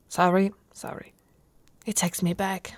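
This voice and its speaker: A woman's voice: lowish voice, dry recording. A woman from Italy voicing an affectionate, flirty orc warrior wife in an intimate ASMR roleplay, teasing and often dropping toward a whisper.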